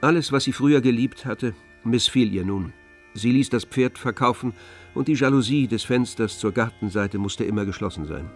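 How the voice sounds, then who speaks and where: A man giving scripted literary narration in a radio drama, in a measured, composed manner. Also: medium pitch, soft background music.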